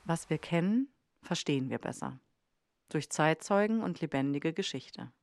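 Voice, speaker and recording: A woman's voice: sits low, lively intonation, normal speed, vocal fry, a little mellow, dry recording. A woman host reading scripted documentary narration for a podcast. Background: faint music.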